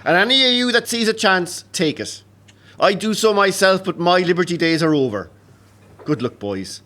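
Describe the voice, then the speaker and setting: A man's voice: high-pitched, animated, moderate pace, bright, forceful tone. An actor with an Irish accent playing a resigned, dutiful rebel officer in an audio play, giving plain, orderly advice to his men. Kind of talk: historical audio drama acting. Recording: faint noise, crowd ambience behind.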